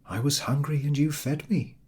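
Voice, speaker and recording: A man voicing a gentle, solemn divine spirit from a dream in a storybook, hushed, tender and measured. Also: on the high side, steady tone, breathy edge, pitched above the performer's own voice. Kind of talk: storybook character acting.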